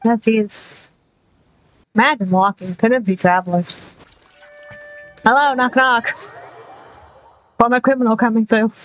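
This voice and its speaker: A woman's voice: on the high side, steady tone, unhurried, pauses often. Casual woman streaming, slightly dull mic. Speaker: game streamer. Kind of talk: casual gameplay commentary, reading dialogue aloud. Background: game music.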